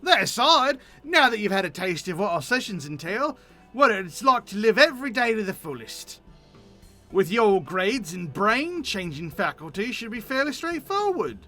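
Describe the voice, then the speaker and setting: A man's voice: high-pitched, very animated, moderate pace, sharp tone, faint music behind, exaggerated voice. A man voicing a flamboyant, cheerful poetry enthusiast in theatrical, florid fashion, reading game dialogue aloud. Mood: cheerfully grandiose. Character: flamboyant, cheerful poetry lover pushing a shy friend.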